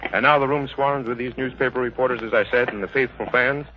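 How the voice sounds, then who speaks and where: A man reports in the formal manner of 1930s live radio. His phrasing is florid and his pitch sits in the middle. The recording is dull and band-limited. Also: fairly even intonation, quick pace. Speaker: dressing-room radio reporter. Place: indoor stadium dressing room.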